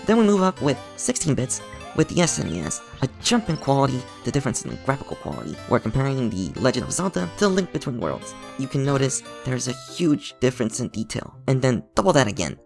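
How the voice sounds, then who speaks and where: Lively upbeat man with a gravelly edge. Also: mid-range voice. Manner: enthusiastic, playful. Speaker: channel host. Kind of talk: scripted tutorial narration with a sponsor segment.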